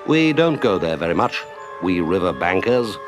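A man voicing a jolly, brisk, hearty water rat in a children's story, exclamatory and confident. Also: low voice, very animated, over music. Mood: matter-of-fact.

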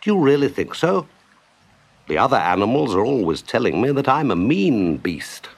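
A man voicing a jolly, brisk, hearty water rat in a children's story, exclamatory and confident. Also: mid-range voice, very animated, gravelly. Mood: cheerful.

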